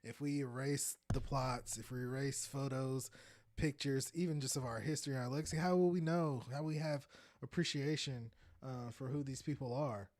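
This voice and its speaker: A man's voice: mid-range voice, moderate pace, dry recording. Guy in his 30s hosting a podcast, laid-back chatty, laughs a lot, dry close sound. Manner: chatty and animated, laughs often. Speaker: podcast host. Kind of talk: casual commentary while browsing and reading aloud.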